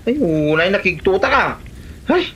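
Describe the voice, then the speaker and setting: A man's voice: high-pitched, animated, moderate pace, a bit bright, dull audio, a little hiss or hum. A man playing a nosy, mocking male pal in a comedy drama, full of teasing exclamations. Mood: startled, mocking. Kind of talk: Ilocano comedy drama acting.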